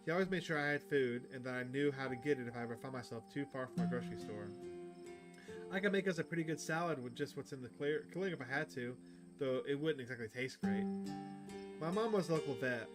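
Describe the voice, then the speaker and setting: A man's voice: medium pitch, expressive, quick pace, over music, close to an everyday voice. A man voicing a chatty, upbeat outdoorsy woman who hunts cryptids, reading visual novel dialogue aloud. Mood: chatty, fond.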